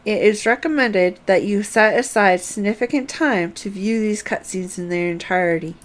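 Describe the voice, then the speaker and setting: A woman's voice: mid-range voice, normal speed. A woman game streamer reading on-screen dialogue aloud and adding casual commentary.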